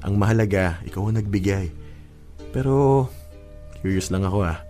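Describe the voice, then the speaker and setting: An actor playing an earnest, emotive young boyfriend in a Filipino radio drama, with a Filipino accent. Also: male voice, deep voice, normal speed, faint music behind, natural voice. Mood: affectionate, curious. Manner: emotive, expressive. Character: hurt but loving young boyfriend, earnest.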